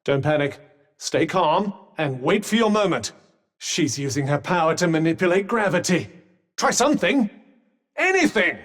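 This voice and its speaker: A wry talking magic bracelet, male game mentor, sarcastic, higher voice, long reverb. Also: expressive, moderate pace, a bit bright. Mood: urgent coaching. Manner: dry, sarcastic quips mixed with urgent warnings. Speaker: voice actor. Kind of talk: video game combat barks.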